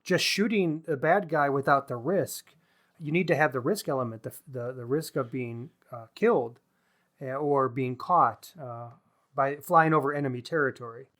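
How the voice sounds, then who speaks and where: A man with a medium-pitched voice speaks as a book podcast co-host. His delivery is conversational but analytical, with considered, measured phrasing. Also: a bit bright.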